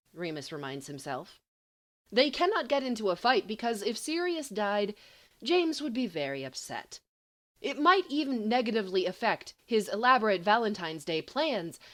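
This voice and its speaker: A woman reading scripted fiction aloud as an expressive, dramatic storyteller. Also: mid-range voice. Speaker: audiobook reader.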